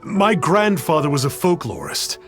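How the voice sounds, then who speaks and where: A man's voice: a little high, huge pitch swings, normal speed. Reformed young con man: earnest, reverent, gushing game voice, music behind. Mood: awestruck. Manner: deferential, gushing, stammering. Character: awestruck, earnest young male trickster and devoted admirer. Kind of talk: video game voice acting.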